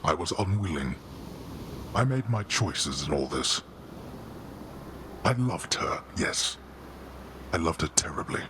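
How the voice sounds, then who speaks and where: Very deep, brooding underworld god-king. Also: very animated, noisy background, full character voice. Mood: heavy regret. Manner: grave, slow, imperious. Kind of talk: dramatic video game acting.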